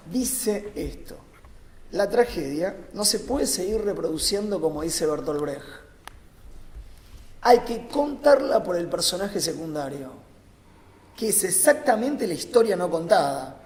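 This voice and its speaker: A man giving an improvised philosophical talk on a panel, phrasing deliberately and emphatically in short bursts with pauses. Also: sits high, a little mellow. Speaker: panel speaker, academic. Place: large reverberant conference room. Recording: echoey hall with long reverb.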